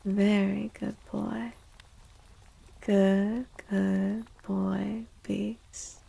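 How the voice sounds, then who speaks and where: Soft-spoken woman, breathy and dark-toned ASMR. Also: mid-range voice, low-quality recording. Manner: gentle, hushed, often slipping into whispers. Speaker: livestreamer hosting an ASMR stream.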